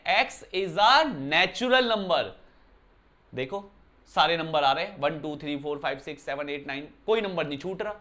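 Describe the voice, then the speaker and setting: A man from Uttarakhand teaching a maths lesson indoors in a chatty style, often checking with his students whether it is clear. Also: high-pitched, sharp tone. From Uttarakhand, India. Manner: conversational, keeps asking "clear, brother?". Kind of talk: classroom lesson explanation. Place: indoor room.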